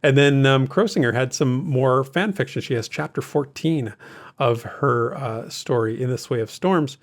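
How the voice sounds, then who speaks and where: A man's voice: mid-range voice, normal speed. A man hosting a casual gaming recap podcast, chatting informally and reading out listener posts in an easygoing way. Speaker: podcast host.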